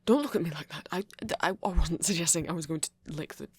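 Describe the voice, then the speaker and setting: A man playing an anxious, protective centuries-old male vampire in an ASMR romance roleplay, with a British accent and a soft, halting, stammering delivery. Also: fairly high pitch, breathy edge, no echo. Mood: flustered, hesitant.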